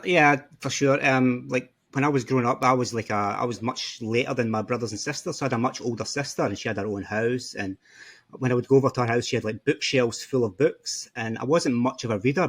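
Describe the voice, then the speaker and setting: A man in his forties with a Scottish accent, chatting as a podcast guest in an easygoing, rambling way. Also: medium pitch. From Scotland. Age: 40s. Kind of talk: casual interview chat.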